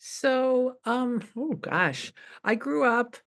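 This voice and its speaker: A woman's voice: on the high side, lively intonation, very slow, fairly bright tone. A woman in her seventies from the New York suburbs, a rabbi telling her story in a thoughtful, wry way as a podcast guest. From United States (New York suburbs). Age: 70s. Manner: thoughtful, laughs wryly while talking. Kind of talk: reflective conversational storytelling.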